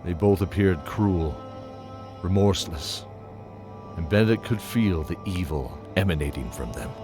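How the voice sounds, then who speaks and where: Deep-voiced male fantasy narrator. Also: expressive, normal speed. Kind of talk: scripted fantasy audio drama narration. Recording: music playing behind.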